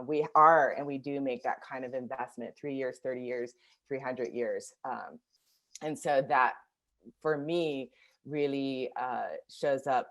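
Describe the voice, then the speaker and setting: A woman from Washington, D.C., co-presenting in an online discussion, speaking reflectively and haltingly with many fillers. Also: very deep voice, a bit bright. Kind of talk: webinar discussion.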